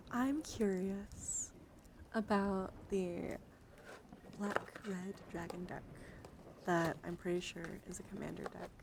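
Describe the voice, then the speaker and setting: A woman hosting an ASMR livestream, speaking softly to viewers and often slipping into whispers. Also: medium pitch, fairly even intonation, takes it slowly. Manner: soft-spoken, often dropping into whispers. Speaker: livestream host. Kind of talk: ASMR, soft chat to livestream viewers with card reading. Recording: clearly audible ambient background noise.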